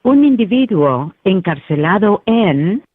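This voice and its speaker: A woman's voice: medium pitch, very animated, measured pace, dry recording. A woman's formal, impersonal recorded voice giving automated phone-system announcements over a telephone line. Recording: dry, tinny narrow-band phone line.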